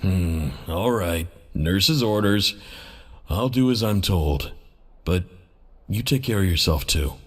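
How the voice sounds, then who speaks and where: An actor playing a friendly, devoted guard in a video game, obliging and admiring, casual and expressive. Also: male voice, deep voice, slow pace, full character voice. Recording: faint music under the voice.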